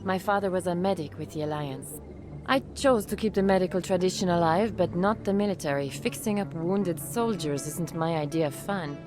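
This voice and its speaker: An actress playing an earnest, soft-spoken female doctor in a video game. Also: on the low side, moderate pace, music in the background, natural voice. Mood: grateful, friendly. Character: grateful female civilian doctor, gentle, earnest.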